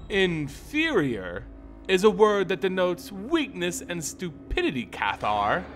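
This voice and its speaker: A man's voice: high voice, wildly swooping pitch, takes it slowly, fairly bright tone, faint music behind, voice pushed up, full character voice. A man voicing a haughty female vampire lord in a fantasy story, coy, mocking and condescending. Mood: offended, hissing.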